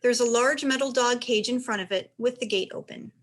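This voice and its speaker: A woman's voice: a little high, brisk. A woman reading stage directions as narrator, in scripted narration for a play.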